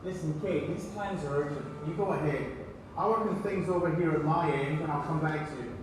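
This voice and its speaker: A man's voice: fairly high pitch, a little fast, noisy background, lightly acted. Man acting as harried businessman, very echoey playback. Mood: pressed, businesslike. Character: harried businessman urgently handling design work. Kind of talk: scripted dramatized video. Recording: very echoey distant playback, music behind.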